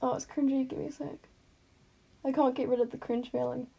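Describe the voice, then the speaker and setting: A woman's voice: high-pitched, level tone, a little fast, vocal fry, highs cut off, a little hiss or hum. A woman narrating fan fiction as an amateur, in an informal, self-conscious way with retakes and asides. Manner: informal, self-conscious, often stumbles and re-says lines.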